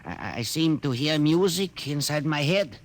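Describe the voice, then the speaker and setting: An actor playing an anxious scientist who speaks in careful, hesitant explanations in a 1950s radio drama. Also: male voice, fairly high pitch, expressive, measured pace. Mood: tense. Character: anxious male scientist serving a commander. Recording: very hissy old radio broadcast.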